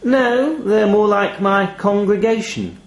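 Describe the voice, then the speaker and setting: A man's voice: sits high, slow pace, reverberant, a little hiss or hum, voice pushed up. A man voicing an ancient talking toll raven in a story, pompous, weary and wistful like a retiring bishop reminiscing. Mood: grave, dry. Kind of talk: audio short story character dialogue.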